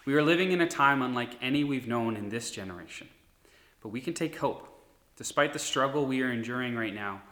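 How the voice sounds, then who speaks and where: Bright-voiced pastor preaching in an echoey room. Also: male voice, medium pitch. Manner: earnest, steady preaching delivery. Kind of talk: recorded sermon.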